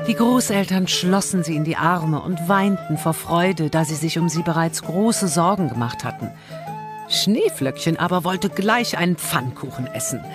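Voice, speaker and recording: A woman narrating a fairy tale as a scripted audio story. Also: sits low.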